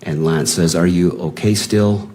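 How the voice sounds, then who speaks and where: A man's voice: low-pitched, fairly even intonation, a little slow, mellow. A man reading written messages aloud in a plain, unacted way, as in testimony at a hearing.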